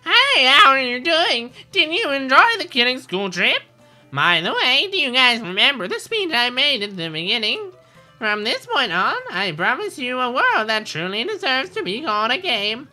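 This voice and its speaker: A woman's voice: sits high, very animated, moderate pace, bright, forceful tone, soft background music, voice pushed up, full character voice. A woman voicing a mischievous villainous bear mascot from a video game, teasing and gleeful.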